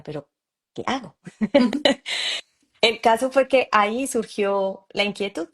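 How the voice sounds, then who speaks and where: A Colombian woman in her forties, a psychologist guest, telling her story in an easy, laughing way. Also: medium pitch. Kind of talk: conversational interview.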